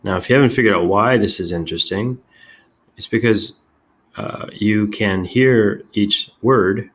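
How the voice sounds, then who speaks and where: A man giving a casual software demonstration as a tech video host, halting and thinking aloud as he goes. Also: on the low side, breathy edge.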